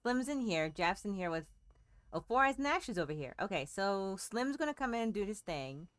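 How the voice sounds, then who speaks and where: A woman's voice: mid-range voice, big pitch swings, fairly quick, a bit bright, dry recording. A woman giving casual, wry and playful commentary as she plays a game. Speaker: game streamer.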